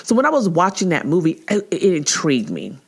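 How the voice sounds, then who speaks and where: A woman in her forties talks through her own podcast in a loose, casual monologue. She is chatty and animated, laughs easily and often restarts her sentences. When she acts out what someone said, her voice jumps far higher. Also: fairly quick, slightly rough. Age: 40s. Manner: laughs easily, with frequent filler words and restarts. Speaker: podcast host.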